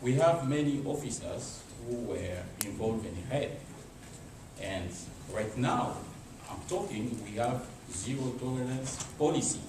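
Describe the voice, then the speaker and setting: A man from DR Congo with a Congolese accent, making an impromptu formal comment in halting, non-native phrasing. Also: mid-range voice, lively intonation. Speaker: embassy representative commenting from the audience. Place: meeting room, speaking without a microphone. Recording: very echoey, distant, clearly noisy room.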